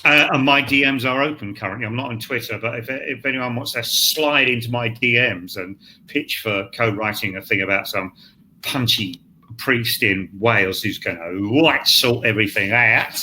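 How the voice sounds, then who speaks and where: A man's voice: mid-range voice, normal speed, bright, forceful tone, rough recording. An older man talking casually on a writers' panel, blunt, emphatic and rambling, with some swearing. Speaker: scriptwriter on a panel. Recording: clear background noise, live online event.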